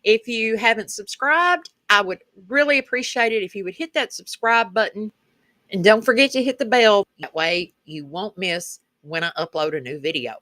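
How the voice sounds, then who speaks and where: Bright-voiced Kentucky country woman, craft host. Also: mid-range voice, no room sound. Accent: rural Kentucky Southern. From Kentucky, rural United States. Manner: folksy, chatty. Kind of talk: casual craft tutorial voice-over.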